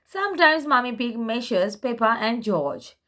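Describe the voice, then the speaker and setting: A woman presenting and reading picture books for toddlers, speaking simply and deliberately, word by word. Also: fairly high pitch, lively intonation, fairly bright tone. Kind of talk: slow read-aloud for toddlers.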